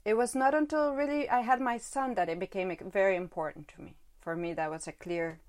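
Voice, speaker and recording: Woman from guatemala, mother of a teen, podcast guest, mid pitch, thoughtful, halting, lots of "you know". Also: steady tone. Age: adult with a teenage son. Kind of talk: conversational interview.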